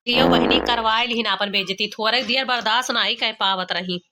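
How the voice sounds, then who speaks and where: A woman's voice: fairly high pitch, steady tone, brisk, very noisy, natural voice. A woman voicing a young village daughter-in-law with an Awadhi accent, complaining dramatically and colloquially in an amateur cartoon comedy. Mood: flustered, evasive. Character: exasperated, embarrassed young village daughter-in-law, gossipy and scolding.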